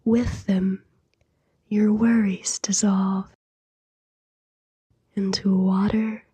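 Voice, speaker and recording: A woman softly narrating a scripted sleep meditation in a hushed, breathy whisper, soothing and unhurried, with long pauses. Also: medium pitch, flat delivery, no room sound.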